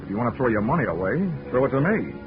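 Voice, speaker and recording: A man's voice: medium pitch, big pitch swings, very fast, lightly acted. Man playing a dry wisecracking investigator, narrating over music, tinny 1940s radio. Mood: wry. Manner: dry, wry and hard-boiled. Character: wisecracking male insurance investigator.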